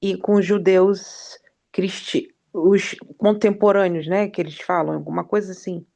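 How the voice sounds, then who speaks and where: Female student, very slow, gravelly fry, hesitant halting questions. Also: medium pitch, steady tone, darkish tone, dull audio. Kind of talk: casual class conversation.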